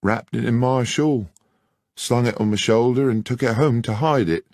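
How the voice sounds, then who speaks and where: A man's voice: medium pitch, normal speed, darkish tone, dry recording, clean studio sound, pitched above the performer's own voice, lightly acted. A man voicing a frightened, earnest young Englishwoman, deferential and stammering. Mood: rueful. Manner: deferential, plain-spoken, stammering in distress. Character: frightened young rural English working woman, earnest, pleading.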